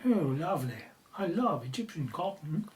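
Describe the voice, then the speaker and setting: A woman's voice: on the low side, big pitch swings, moderate pace, darkish tone. A woman playing a breezy, easily bored British Prime Minister in an audio drama, chatty and self-absorbed, with a British accent. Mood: breezy delight.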